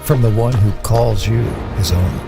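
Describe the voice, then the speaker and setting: A man reading a scripted inspirational promo in a slow, dramatic way. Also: lowish voice, vocal fry. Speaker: promo narrator. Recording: voice-over over loud music.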